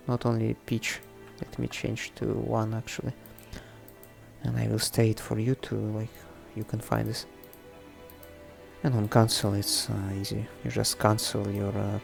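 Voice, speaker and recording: A man presenting a casual, unscripted coding tutorial, thinking aloud haltingly in non-native English. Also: fairly low pitch, vocal fry. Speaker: presenter of a programming tutorial series. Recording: faint background music under the voice. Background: faint music.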